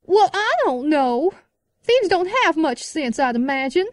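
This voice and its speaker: A woman playing a sharp-tongued, indignant cartoon pony who speaks in a snappy, scornful way. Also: high voice, expressive, unhurried, no echo, studio quality. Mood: dismissive. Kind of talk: amateur cartoon audio drama acting.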